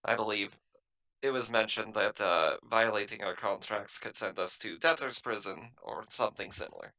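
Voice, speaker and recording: Bright-voiced man roleplaying a briefing. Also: on the low side, normal speed, poor audio. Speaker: tabletop game player. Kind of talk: improvised in-character roleplay.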